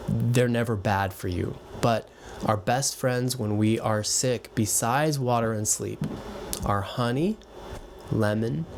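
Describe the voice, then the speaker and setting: A male voice teacher talks directly to his viewers, giving warm-hearted, encouraging advice. He speaks in a relaxed, conversational way, sometimes restarting a phrase, with his pitch sitting squarely in the middle for a man. A faint hiss sits under the recording. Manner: gentle, reassuring, encouraging. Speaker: voice and piano teacher. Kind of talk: direct-to-camera advice talk.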